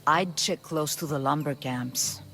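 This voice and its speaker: High-voiced grouchy craftsman. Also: unhurried, gravelly, sharp tone, full character voice. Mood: gruff explaining. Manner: scoffing, curt. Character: grouchy, sceptical, impatient male bowyer, grudging craftsman. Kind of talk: video game cutscene acting.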